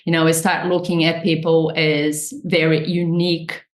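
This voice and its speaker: A woman's voice: low-pitched, flat delivery, normal speed. A woman with a Brazilian accent, a nutritionist guest, explaining her work earnestly and enthusiastically. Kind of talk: interview answers. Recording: roomy echo.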